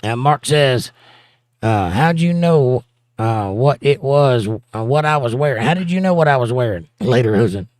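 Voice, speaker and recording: A man's voice: mid-range voice, expressive, moderate pace, a little mellow, no room sound, clean studio sound. Laid-back Southern man reading chat. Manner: laid-back, teasing, chuckles often. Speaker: livestream host reading chat. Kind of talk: casual chat.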